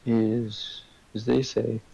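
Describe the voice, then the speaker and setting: A man's voice: mid-range voice, level tone, extremely slow, creaky, warm tone, breathy edge, dull audio. A young man in casual conversation on a stream, talking things through with friends. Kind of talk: casual chat.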